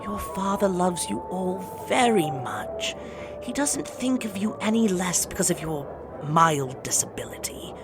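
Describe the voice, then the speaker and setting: A regal mother queen in a mythic audio drama. Her voice is on the low side and moves expressively, formal and shrewd, coaxing as she speaks. Music runs behind her. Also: expressive, a little slow. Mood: tender, coaxing.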